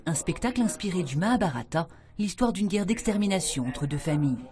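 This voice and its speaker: Lower-voiced woman narrating a report. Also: lively intonation, fairly quick, a little mellow. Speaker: news reporter. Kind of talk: scripted voice-over narration.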